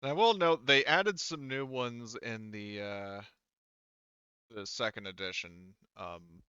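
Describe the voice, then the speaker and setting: A man's voice: medium pitch, takes it slowly, bright, forceful tone, clean tone, no echo, muffled recording. A man chatting casually as the game master of a tabletop roleplaying session.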